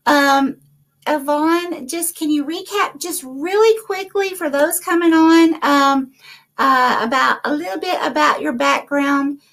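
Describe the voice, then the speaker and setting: High-voiced, enthusiastic woman host. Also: a little slow, fairly bright tone. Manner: enthusiastic, effusive. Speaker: host of a paranormal interview show. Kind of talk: live-stream interview hosting, reading comments aloud.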